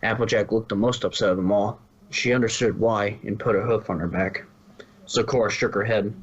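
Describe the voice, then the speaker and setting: A man's voice: lowish voice, fairly even intonation, fairly quick, husky, muffled recording. A man reading story narration from a script, now and then stumbling and rereading a phrase. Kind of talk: scripted fan fiction narration.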